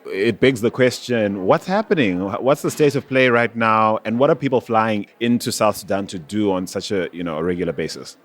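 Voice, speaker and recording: A man's voice: fairly low pitch, brisk, few pauses. A man hosting a podcast, moving between scripted narration and conversational interviewing. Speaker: podcast host.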